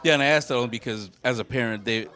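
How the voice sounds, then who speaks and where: A man's voice: medium pitch, racing, bright, forceful tone, a faint crowd. A man hosting an interview at an art gallery, friendly and a little stammering as he restarts his questions. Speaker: interviewer, host. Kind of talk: casual interview.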